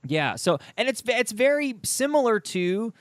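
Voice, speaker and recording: A man's voice: very high voice, big pitch swings, unhurried, dry recording. A man from the United States hosting a conversational interview, speaking in an opinionated, emphatic way. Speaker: podcast host.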